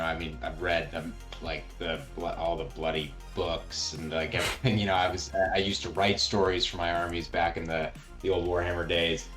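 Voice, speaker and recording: A man giving his opinions as a reviewer in casual chat, halting as he thinks aloud. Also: low-pitched, a bit bright. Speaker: co-host or guest reviewer.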